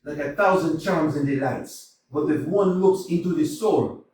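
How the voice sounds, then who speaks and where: An amateur actor playing a blustering, scornful landowner in a staged play reading, swinging between shouting and mock-tender crooning. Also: male voice, a little slow, slight background noise, close to an everyday voice. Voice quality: booming, theatrical. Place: small community theater stage. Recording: echoey hall from a distance.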